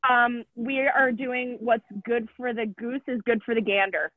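A woman's voice: a little high, unhurried, no echo. Upbeat woman host on a tinny line. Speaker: podcast host, real estate agent. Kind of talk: casual podcast chat. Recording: tinny dry phone-like sound.

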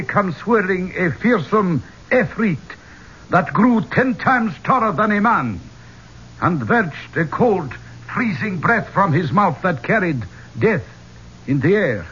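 Husky, solemn Egyptian tomb guardian. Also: male voice, sits high, sing-song, a bit bright, full character voice. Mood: ominous. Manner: measured, ominous, formal storytelling. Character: proud, solemn Egyptian man guarding ancestral tombs. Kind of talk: 1970s radio drama acting. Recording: muffled old radio broadcast recording.

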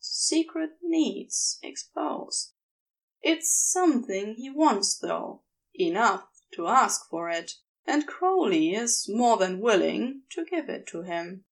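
Slow, expressive woman narrating fiction. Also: fairly high pitch, gravelly, rough recording. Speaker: podfic narrator.

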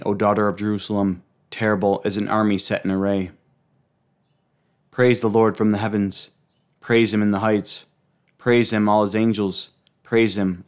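Man, low smooth voice, flat intonation, solemn liturgical recitation. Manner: solemn, measured reverent phrasing. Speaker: reciter of prayers. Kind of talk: liturgical recitation of psalms and hymns.

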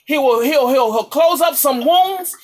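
A woman's voice: sits high, lively intonation, unhurried, darkish tone. A woman preacher giving an impassioned, exhorting charismatic sermon, repeating phrases for emphasis.

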